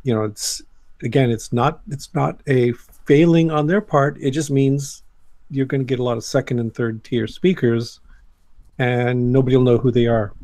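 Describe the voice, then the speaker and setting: An older man speaks as a panel guest in a medium-pitched voice. He explains points conversationally, in a measured, matter-of-fact manner. The sound is dry and free of echo. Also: darkish tone. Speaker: guest, academic.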